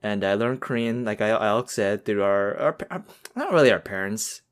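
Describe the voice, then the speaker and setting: A man's voice: fairly low pitch, expressive, normal speed, clean tone. A young man from the United States co-hosting a podcast, telling a story in a casual, rambling way with many fillers and restarts. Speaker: podcast co-host. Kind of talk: casual chat.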